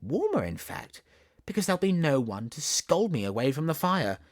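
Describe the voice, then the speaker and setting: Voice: medium pitch, sing-song, normal speed. Narrator acting playful bossy little girl, Southern English accent, chatty, dreamy, swooping intonation, storybook. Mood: delighted. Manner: playful, wondering, coaxing chatter.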